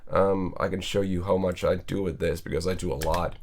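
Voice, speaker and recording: Low-voiced man walking through a mix. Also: level tone, brisk, background noise. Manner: casual, rambling, with filler words and laughs. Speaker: singer and mixing engineer. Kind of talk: walkthrough of a vocal mix.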